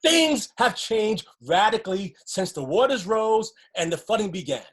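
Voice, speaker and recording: An actress plays a woman who has survived disaster and clings to what little she has. Her voice is mid-pitched and a touch husky. She speaks as in a stage drama, pragmatic and cautioning, wary of any risk. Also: sing-song, moderate pace. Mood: earnest, reassuring. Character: wary, resigned flood survivor clinging to a cramped shelter. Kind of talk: stage play dialogue.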